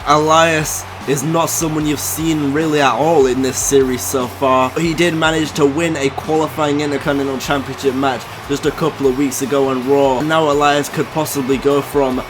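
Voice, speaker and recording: A man's voice: a little high, fairly even intonation, normal speed, few pauses, background noise. Hyped man doing wrestling play-by-play. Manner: hyped, bursts into shouts at big moves. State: losing his voice. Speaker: YouTuber commentating his own game. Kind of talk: excited play-by-play commentary.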